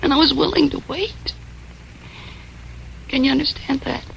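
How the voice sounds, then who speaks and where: High, bright, lovesick dame. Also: female voice, moderate pace, vocal fry, lo-fi sound, exaggerated voice. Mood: anguished, intense. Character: desperate, lovesick, scheming female crime-story lover. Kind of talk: melodramatic 1940s radio acting. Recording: noisy old radio recording.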